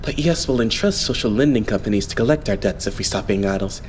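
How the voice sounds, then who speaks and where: Man acting earnest young idol leader, lively intonation. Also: on the low side, slight background noise, lightly acted. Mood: earnest, serious. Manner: polite, explanatory, measured phrasing. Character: earnest, devout young male idol, optimistic unit leader. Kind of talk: anime game fan dub acting.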